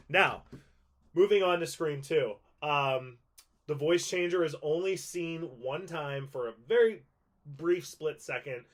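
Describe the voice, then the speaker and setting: A man in his thirties casually explaining things to viewers, rambling a little and repeating points for emphasis. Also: mid-range voice. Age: 30s. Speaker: video host and prop collector.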